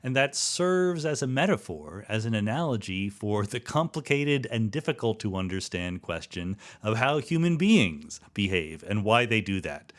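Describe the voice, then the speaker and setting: A man hosting a podcast, talking conversationally and explaining ideas with rhetorical asides. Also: lowish voice. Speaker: podcast host. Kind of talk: conversational monologue and interview.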